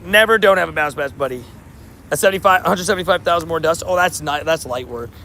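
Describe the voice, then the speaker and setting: Man, streamer, slightly hoarse, gravelly and fairly high voice, chatty, faint street noise. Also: a bit bright. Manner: chatty, reads out and answers chat. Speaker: livestream host. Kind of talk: casual live-stream chat. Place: outdoors by a street with traffic.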